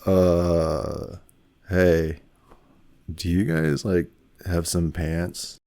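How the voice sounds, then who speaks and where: Man playing a very slow, awkward drifter. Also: low voice, lively intonation, creaky, heavy background noise, exaggerated voice. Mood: sheepish. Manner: halting, casual. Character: awkward, slow, hesitant stranded human man. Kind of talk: scripted audio drama acting.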